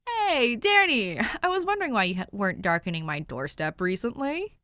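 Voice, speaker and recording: A big tattooed security guard with a friendly city drawl and a raised voice that swings up high, as a woman reader puts him on. The sound is dry and close, with no room around it. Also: very animated, moderate pace, pushed and bright, no room sound. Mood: cheerful. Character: cheerful tattooed male security guard, bulky.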